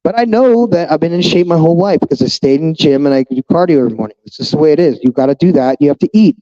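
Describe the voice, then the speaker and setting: A man's voice: fairly high pitch, lively intonation, rapid-fire, mellow, dull audio. Blunt, profane fifty-year-old man ranting. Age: 50s. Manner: talks straight to viewers. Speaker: vlogger. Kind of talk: casual vlog monologue.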